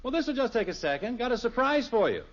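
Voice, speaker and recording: A man's voice: extremely high pitch, very animated, quick pace. An actor playing a cheerful, self-assured middle-aged American father in a 1950s radio sitcom, lecturing in a folksy way. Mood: eager, coaxing. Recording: muffled old radio recording.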